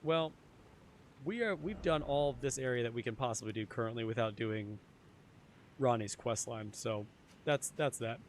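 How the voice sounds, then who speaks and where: Slightly hoarse male streamer. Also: medium pitch, moderate pace, slight background noise. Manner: chatty, answers chat, repeats phrases. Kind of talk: casual live-stream chat while gaming.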